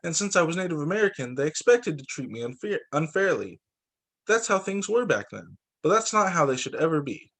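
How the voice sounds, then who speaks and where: A man, a teacher, reading a picture book aloud to students in an expressive, deliberate storytelling way. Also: a little high.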